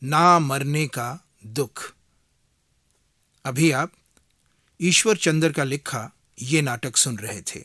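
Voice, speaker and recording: A man working as a radio announcer, reading a formal scripted announcement in a measured, deliberate manner. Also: medium pitch, pushed and bright.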